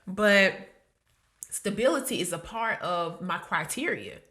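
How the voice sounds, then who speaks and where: A woman's voice: mid-range voice, expressive, unhurried, bright, forceful tone. A woman in her thirties co-hosting a podcast, chatting casually in a blunt, profane way that often breaks into laughs. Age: 30s. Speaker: podcast co-host. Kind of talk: casual chat.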